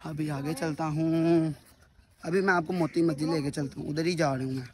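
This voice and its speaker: A man's voice: fairly high pitch, steady tone, normal speed. Young man vlogging outdoors by phone. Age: young adult. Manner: chatty, talks to viewers while walking. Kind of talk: casual vlog narration. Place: outdoors at a historic fort. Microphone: phone held at arm's length.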